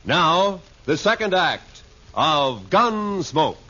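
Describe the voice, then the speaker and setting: A man's voice: fairly high pitch, sing-song, drawn-out words, sharp tone. A man with an American accent reading a scripted announcement as a 1950s radio announcer. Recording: muffled vintage radio broadcast.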